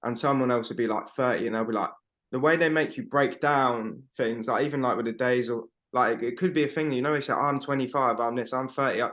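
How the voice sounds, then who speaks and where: A man's voice: mid-range voice, brisk, a bit bright. A man, co-hosting a podcast over a video call from home, rambling emphatically and swearing freely. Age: 20s. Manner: rambling, emphatic, swears a lot, fillers like "bro". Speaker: podcast co-host. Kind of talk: casual chat.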